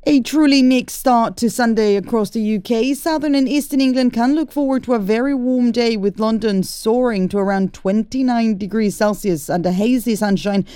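A woman presents a radio news bulletin with steady, clear delivery. Her tone is warm and mellow. The studio sound is dry and close. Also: fairly high pitch, normal speed, hardly any pauses, no room sound. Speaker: newsreader. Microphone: studio mic.

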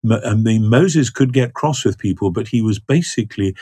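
A man's voice: fairly low pitch, normal speed, dark tone, slightly rough, no echo. A man talking about scripture in a thoughtful, hesitant way, with many fillers and restarts. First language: not Hebrew. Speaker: biblical scholar guest. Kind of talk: thoughtful conversational interview.

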